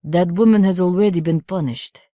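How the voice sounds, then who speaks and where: A woman's voice: deep voice, sing-song, slow pace, gravelly, warm tone, breathy edge, no room sound, exaggerated voice. A woman reading an audiobook, voicing a former actress turned baroness who talks in a coarse, guttural, commanding way. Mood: scornful.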